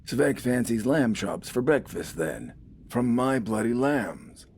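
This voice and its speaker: A man's voice: mid-range voice, animated, takes it slowly, darkish tone, husky, voice pushed up. A man voicing a wry, weary older farmer turned militiaman, stubbornly outspoken in a folksy, grumbling way. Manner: folksy, grumbling, plain-spoken.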